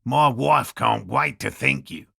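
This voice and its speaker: A man voicing an earnest, eccentric cryptozoologist in a video game, with a raspy voice and precise, courteous, scholarly phrasing. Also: medium pitch, a little slow, fairly bright tone, no room sound, clean studio sound, exaggerated voice. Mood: polite, measured. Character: earnest eccentric male cryptozoologist scientist, obsessive but courteous. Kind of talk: video game dialogue voice lines.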